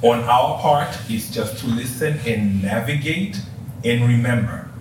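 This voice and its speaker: A man with a Tanzanian accent teaches with emphatic energy. His voice sits at a middling pitch. The recording is distant and echoes heavily, with clear background noise. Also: a little slow, darkish tone. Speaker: customer service coach. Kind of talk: coaching talk. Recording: echoey room, distant mic, noisy.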